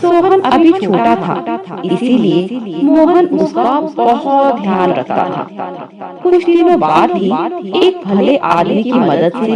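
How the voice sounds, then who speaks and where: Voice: medium pitch, lo-fi sound. A narrator telling a scripted moral story in a storytelling style.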